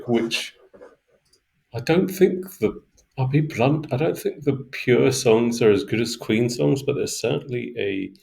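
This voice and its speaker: A man's voice: lowish voice, vocal fry, a little mellow. A man co-hosting a music podcast, talking casually in a reflective, analytical way.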